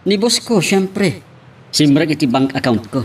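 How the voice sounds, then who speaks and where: A man's voice: on the high side, very animated, a little slow, breathy edge, heavily acted. A man with an Ilocano accent playing an eager, courteous young suitor in an audio love drama. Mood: jaunty. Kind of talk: Ilocano radio-style drama acting. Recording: faint background noise.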